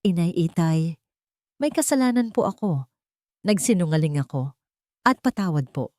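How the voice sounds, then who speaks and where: A woman's voice: on the low side, sing-song, normal speed, a little mellow, no room sound. A woman acts out a heartbroken young heroine of a romantic audio story. She speaks with open emotion, and the sound is dry and close. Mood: apologetic, resolute.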